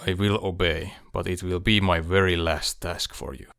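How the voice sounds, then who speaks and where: A man playing a controlled, quietly defiant officer with a flat, unemotional manner in a fan audiobook. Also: sits low, moderate pace, creaky, clear, resonant tone, dry recording, clean studio sound.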